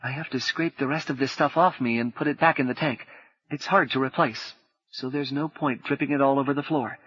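A man reading an audiobook, voicing a quick, apologetic young woman who speaks in a hushed way. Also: medium pitch, fairly even intonation, breathy edge, dull audio, pitched above the performer's own voice. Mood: hushed, brisk. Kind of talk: audiobook character voice.

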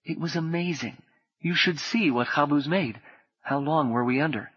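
A man reading an audiobook, voicing a quick, apologetic young woman who speaks in a hushed way. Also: a little high, breathy edge, muffled recording, pitched above the performer's own voice. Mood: hushed, brisk. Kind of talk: audiobook character voice.

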